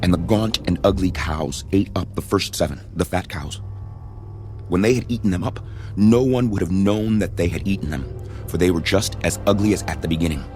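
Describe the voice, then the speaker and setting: Man acting a very deep-voiced regal Pharaoh, music behind. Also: a little fast, a little mellow, natural voice. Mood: troubled, grave. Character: commanding Pharaoh of ancient Egypt, regal male ruler. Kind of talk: dramatized audio Bible acting. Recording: studio audio drama with music underneath.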